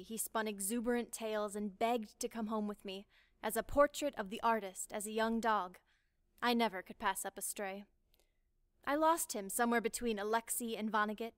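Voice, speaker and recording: A woman recites a written poem in a deliberate, storytelling way. Her voice sits a little high. The recording is very dry, with no sense of room around her. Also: fairly bright tone, no room sound. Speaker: poetry reader. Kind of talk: scripted poetry recitation.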